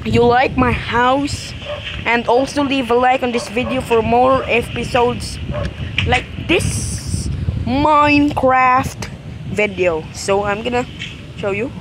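A young person giving casual, halting gameplay commentary with repetitive phrasing as the host of a gaming video. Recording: very noisy, windy rumbling microphone.

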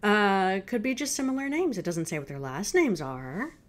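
Woman in her 30s reacting to a video, chatting casually, normal pitch. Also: big pitch swings, moderate pace. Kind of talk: casual off-the-cuff commentary on a video. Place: indoor room at home.

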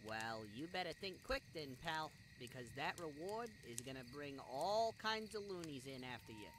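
A man's voice: on the high side, sing-song, moderate pace. A man playing a cocky, foul-mouthed bandit in a fantasy audio roleplay, with a slangy, mocking, wisecracking way of talking. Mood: sly, knowing. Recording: noisy, with night crickets behind. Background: crickets, night forest ambience.

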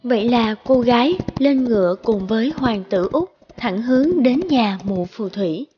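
A woman narrating a fairy tale in a measured, scripted storybook style. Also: on the high side, lively intonation, breathy edge, lo-fi sound.

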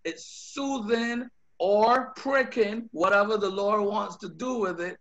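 A man's voice: high voice, slow, fairly bright tone. A man with a Bajan accent, a pastor preaching a sermon over a video call, earnest, often repeating words as he builds his points. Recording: dull lo-fi video call audio.

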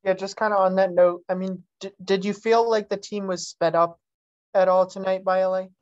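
A woman's voice: mid-range voice, level tone, normal speed, darkish tone, dull audio. A woman sports reporter asking a coach questions at a press conference, hesitant and full of fillers, over remote audio.

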